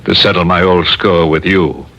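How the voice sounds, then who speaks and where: A man playing a cool master thief in a 1950s radio drama, measured, smug and menacing. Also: low voice, steady tone, pushed and bright, heavily acted. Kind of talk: 1950s radio villain acting. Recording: muffled, noisy old radio recording.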